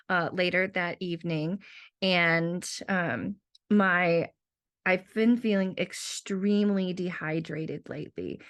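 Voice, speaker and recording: A woman's voice: fairly low pitch, a bit bright. A young woman and teacher, sick with a sore throat, talking casually and rambling through her week in a personal monologue. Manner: rambling, self-talking asides.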